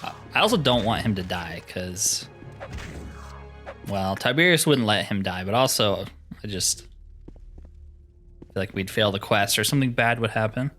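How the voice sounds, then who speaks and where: A man's voice: lowish voice, expressive, moderate pace, long pauses, fairly bright tone, soft background music. A man giving casual, mildly exasperated commentary while playing a video game, thinking aloud as he goes. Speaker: gaming video host.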